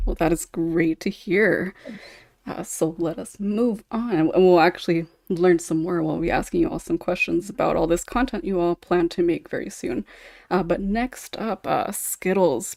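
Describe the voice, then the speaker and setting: A woman's voice: fairly low pitch, fairly even intonation, normal speed, gravelly, darkish tone, no room sound, studio quality. A woman hosting a casual podcast, chatting informally as she introduces guests. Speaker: podcast host and writer.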